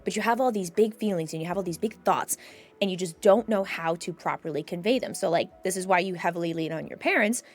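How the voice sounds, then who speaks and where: A woman's voice: lowish voice, fast talker. A woman hosting a conversational discussion, explaining things in an earnest, emphatic way. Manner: earnest, emphatic, explanatory, voices imagined quotes. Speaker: podcast host and mental health professional. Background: background music.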